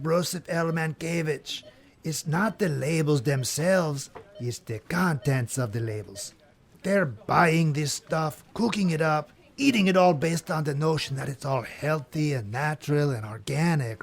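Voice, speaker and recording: A man playing War as a slick, cocky bro in a comedic audio drama, coaching in slangy talk with a gravelly edge. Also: on the high side, unhurried. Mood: persuasive.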